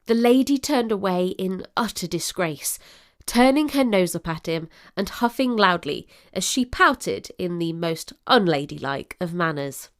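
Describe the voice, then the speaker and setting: A woman narrator reading a scripted period drama in an expressive, literary storytelling manner. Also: fairly low pitch, measured pace.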